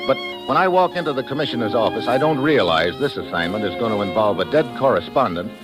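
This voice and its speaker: An actor playing a wry, world-weary, hard-boiled government agent in a 1950s radio drama. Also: male voice, mid-range voice, animated, quick pace, lightly acted. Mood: wry narration. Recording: vintage 1950s radio recording, music behind.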